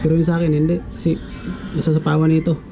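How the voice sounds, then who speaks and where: A man gives a casual hands-on tutorial in a slightly high, gravelly voice. He speaks in a fairly even tone, with music playing behind him. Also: rapid-fire. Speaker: vlogger giving a DIY tutorial.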